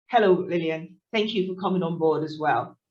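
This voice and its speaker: A woman's voice: low voice, moderate pace. A woman hosting a podcast, introducing and encouraging her guest with occasional fillers. She sits away from the mic, and the sound is dull and lo-fi. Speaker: podcast host. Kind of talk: podcast interview. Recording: distant, dull lo-fi audio.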